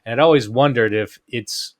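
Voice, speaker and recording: A man's voice: mid-range voice, moderate pace, no echo. Guy hosting a podcast, dry jokey humor, dead dry sound. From Canada. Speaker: podcast host. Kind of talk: casual podcast conversation.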